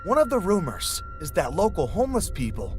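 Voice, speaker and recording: Animated higher-voiced young man telling a story. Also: brisk. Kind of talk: scripted first-person horror story narration. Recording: music bed behind voice. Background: music.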